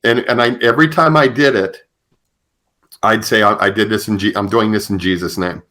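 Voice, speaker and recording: Bright-voiced, low-pitched man hosting a podcast. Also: fairly even intonation, brisk. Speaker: podcast host. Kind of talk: conversational storytelling on a podcast.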